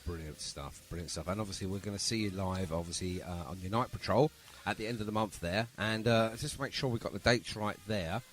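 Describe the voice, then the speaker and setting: A man's voice: low voice, a little fast, few pauses, a little hiss or hum. A man hosting a podcast in a casual, chatty and jokey style. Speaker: podcast host.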